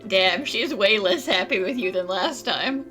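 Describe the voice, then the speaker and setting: A woman's voice: medium pitch, expressive, measured pace. A woman giving casual, playful, wisecracking commentary as she plays a video game. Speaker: gaming streamer. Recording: game music behind the voice.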